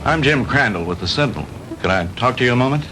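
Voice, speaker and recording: An actor playing a helpful, persistent newspaper reporter in a 1960s B-movie. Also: male voice, medium pitch, expressive, fast, bright, forceful tone. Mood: earnest, persuasive. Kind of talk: 1960s B-movie dialogue acting. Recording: noisy 1960s film soundtrack.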